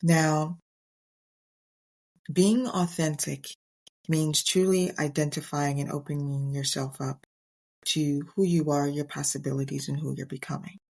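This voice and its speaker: A middle-aged woman hosting a motivational podcast on her own, speaking earnestly and emphatically in short, deliberate phrases. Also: deep voice, little pitch movement, vocal fry, darkish tone. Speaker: podcast host. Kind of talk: motivational solo podcast talk.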